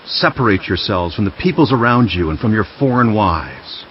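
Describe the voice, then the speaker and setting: A man's voice: fairly low pitch, lively intonation, normal speed, lo-fi sound, a little hiss or hum, lightly acted. An actor playing a stern priest who addresses his people in a commanding, declamatory way in a scripture audio drama. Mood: stern rebuke.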